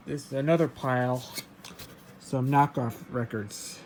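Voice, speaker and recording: A man casually showing his record collection, reading out titles in a halting, offhand way. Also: medium pitch, steady tone, darkish tone, slight background noise. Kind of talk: casual show-and-tell vlog.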